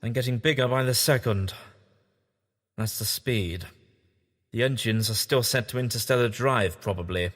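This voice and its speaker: A man playing an eccentric, kindly time-travelling scientist in a science fiction audio drama, excitable, theatrical and a little fussy. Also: mid-range voice, normal speed, pauses often, natural voice. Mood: grave. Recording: long hall-like reverb.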